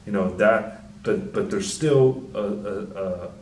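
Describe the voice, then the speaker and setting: A young man, a personal trainer, giving casual, rambling fitness advice in a deep, soft-spoken, mumbling voice, swearing freely. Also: slow pace, reverberant, recorded from a distance, a little hiss or hum. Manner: laid-back, rambling, frequent swearing and fillers. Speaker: personal trainer and channel host.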